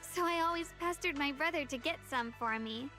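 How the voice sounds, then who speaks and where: A woman's voice: sits high, a little fast, pushed and bright. An actress voicing a sweet, eager young woman, a martial-arts disciple in a video game. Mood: nostalgic. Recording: game audio with faint music.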